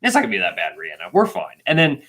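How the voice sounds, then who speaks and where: A man in his thirties co-hosting a casual live question-and-answer chat, talking in an animated, emphatic, informal way. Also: mid-range voice, rapid-fire. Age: 30s. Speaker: co-host of a trade channel.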